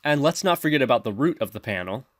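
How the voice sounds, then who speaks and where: A man narrating a software tutorial in an instructional, step-by-step manner. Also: medium pitch, animated, fast talker, no echo. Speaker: tutorial presenter.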